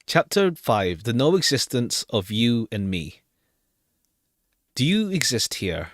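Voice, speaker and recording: A woman's voice: extremely low pitch, very animated, slow, no room sound, studio quality. A woman doing casual live commentary on a game, loosely thinking aloud as she plays. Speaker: streamer playing and commenting on a game. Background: jazzy game background music.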